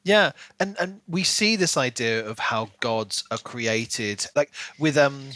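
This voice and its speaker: A man's voice: mid-range voice, expressive, slow, pushed and bright, no echo. A man co-hosting a podcast, talking casually through a book and acting out imagined bits of dialogue as he explains. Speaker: podcast co-host.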